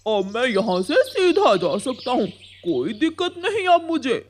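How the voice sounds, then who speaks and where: A woman's voice: on the high side, sing-song, unhurried, a little mellow, a little hiss or hum. Lady doing a grumpy bully crow guy, cartoon, jumpy sing-song voice. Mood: smug. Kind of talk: Hindi cartoon voice acting.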